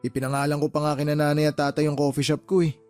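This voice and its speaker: A man's voice: on the high side, monotone, rapid-fire, darkish tone, soft background music, lightly acted. A Filipino man playing a weary, exasperated younger brother who defends himself in a radio drama. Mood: defensive. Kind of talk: Tagalog radio-drama phone dialogue.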